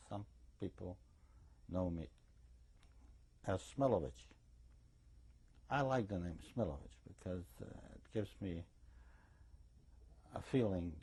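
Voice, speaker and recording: Older male, Eastern European accent, slow and husky, anecdotal oral-history witness. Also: low-pitched, mellow. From eastern Czechoslovakia. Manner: deliberate, anecdotal storytelling. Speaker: interviewee giving survivor testimony. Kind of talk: recorded oral history testimony.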